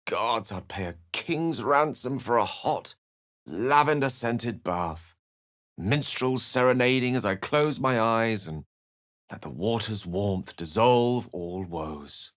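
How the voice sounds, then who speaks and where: An actor playing a scholarly, self-assured and romantic human wizard in a video game, with an eloquent, theatrical way of speaking. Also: male voice, on the low side, lively intonation, slow pace, a bit bright, dry recording, clean studio sound. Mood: charming, flourishing. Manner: eloquent, theatrical, ornate phrasing. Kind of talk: video game character lines and narration.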